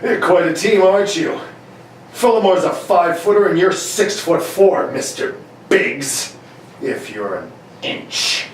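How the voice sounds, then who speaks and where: A man's voice: high voice, big pitch swings, takes it slowly, full character voice. A man playing a brusque, impatient police inspector in an amateur 1940s-style radio play, barking questions and orders. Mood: needling. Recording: echoey room, distant mic, faint noise.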